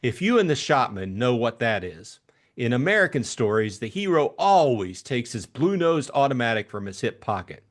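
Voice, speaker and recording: A man voicing a light-hearted young gentleman adventurer in an audiobook, breezy and chummy with a jaunty manner. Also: medium pitch, sing-song, moderate pace, natural voice. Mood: brisk, jaunty.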